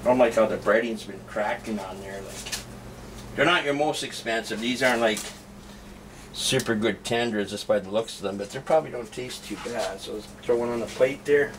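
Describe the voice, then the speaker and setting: A man's voice: fairly low pitch, moderate pace. Middle-aged male food vlogger, offhand and chatty, heard from across a noisy kitchen on a camera mic. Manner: chatty, offhand, rambling with restarts. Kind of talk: casual cooking and tasting vlog. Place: home kitchen, indoors. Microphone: camera mic set back in the room. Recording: distant camera mic with background noise.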